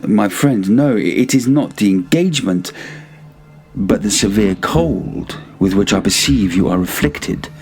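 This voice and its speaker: Gothic tale villain, read by a man: courteous on the surface, sly, feigning reluctant concern, expressive intonation. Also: on the low side, unhurried, soft background music. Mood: feigned concern. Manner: smooth flattery, feigned reluctance.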